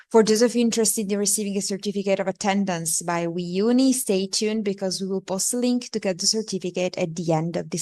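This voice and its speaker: A woman hosting a webinar on a video call, reading a scripted welcome with small slips. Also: medium pitch. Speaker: webinar host. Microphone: computer mic on a video call.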